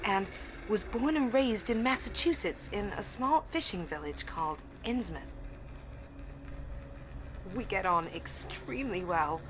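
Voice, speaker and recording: A young woman at university in an audio drama, naive and easily smitten. Her medium-pitched voice is earnest and confiding, like a diary being spoken aloud. There is clear ambient noise behind the recording. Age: young adult. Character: eager, naive, infatuated young female university student. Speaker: actor.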